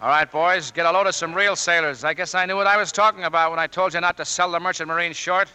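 A man playing a tough, gruff but fair Navy chief in a 1940s radio drama, with barking, clipped commands. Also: fairly high pitch, fast talker, bright, forceful tone. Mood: gruff, subdued. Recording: noisy old 1940s radio recording.

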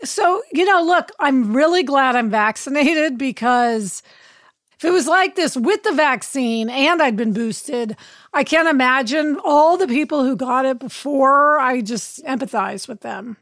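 A woman with a raspy voice from illness, co-hosting a podcast in casual chat and acting out quoted lines. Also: sits high, expressive, measured pace, a bit bright, dry recording, clean studio sound. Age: adult with a child. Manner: chatty, acts out quoted dialogue. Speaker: podcast co-host.

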